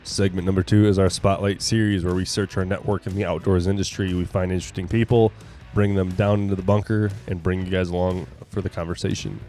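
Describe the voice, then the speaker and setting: Low-voiced, jokey male co-host. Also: little pitch movement, fast, mellow, soft background music. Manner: jokey, slangy. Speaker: podcast co-host. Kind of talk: casual joking banter.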